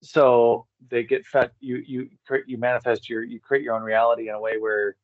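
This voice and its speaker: A man speaking as an interview guest, thinking aloud in a casual, reflective way and halting as he searches for words. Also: mid-range voice, completely flat delivery, no room sound. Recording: dull, slightly lo-fi, dry sound.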